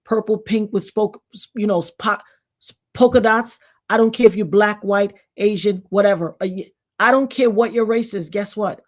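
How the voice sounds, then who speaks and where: Preachy fifty-something woman lecturing viewers. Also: mid-range voice. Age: 50s. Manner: animated, preachy, addresses viewers as y'all. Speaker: YouTube channel host. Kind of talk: casual talk to camera.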